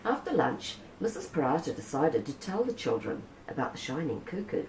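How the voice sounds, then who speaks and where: Low, lilting New storyteller woman. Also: very animated, normal speed. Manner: gentle storytelling, Maori words spoken naturally. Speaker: children's book reader. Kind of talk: picture book read-aloud. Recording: roomy with faint background hiss.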